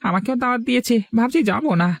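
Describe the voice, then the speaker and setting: An actor playing a repentant young henchman in a cartoon, with a creaky voice. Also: very high-pitched, fast. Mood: resigned, repentant. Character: repentant young henchman, resigned and conscience-stricken. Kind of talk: Bengali cartoon story dialogue.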